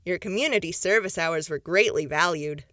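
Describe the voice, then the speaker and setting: A woman's voice: fairly low pitch, normal speed, fairly bright tone, no room sound, clean studio sound, close to an everyday voice. A woman voicing a folksy, chatty townswoman. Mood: friendly.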